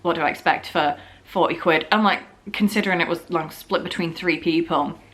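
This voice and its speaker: A young woman from the north of England talks straight to her camera at home, joking her way through a story. Her voice is slightly scratchy from a sore throat and sits a touch lower than most. She talks loosely and casually, full of fillers. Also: fairly even intonation, a little fast, fairly bright tone. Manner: chatty, jokey, full of filler "like"s. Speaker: vlogger.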